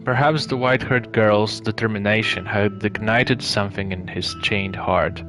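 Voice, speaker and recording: A man reading a story aloud live as narration, in a measured way with some hesitations and stumbles. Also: fairly low pitch, steady tone. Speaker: streamer reading a visual novel aloud. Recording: clear background music behind the voice.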